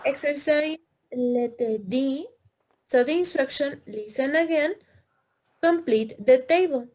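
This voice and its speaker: A woman teaching an English listening lesson, speaking slowly and repeating answers with emphasis. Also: sits high, darkish tone. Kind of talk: classroom lesson.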